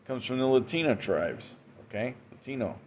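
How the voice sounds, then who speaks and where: A man talks through an informal religious monologue at a mid pitch. He keeps checking in with "okay?" and "man", often restarting his words. A faint hum of noise sits behind him. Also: big pitch swings, normal speed. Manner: tags almost every sentence with "okay?" and "man"; frequent restarts and repeated words. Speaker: religious commentator. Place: indoors, Western New York.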